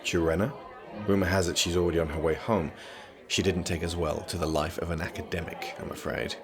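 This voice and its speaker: An actor playing an aloof, sardonic young prince and magician in an audio drama, speaking formally, dryly and guardedly. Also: male voice, low-pitched, fairly even intonation, brisk, crowd noise. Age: young adult. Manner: formal, dry, guarded speech.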